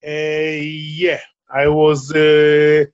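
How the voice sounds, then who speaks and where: A middle-aged Israeli man with a Hebrew-speaking background, speaking halting English with many fillers as he answers interview questions. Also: a little high, monotone, clean tone, muffled recording. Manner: halting, searching for English words, frequent fillers. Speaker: guest. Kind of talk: remote interview conversation.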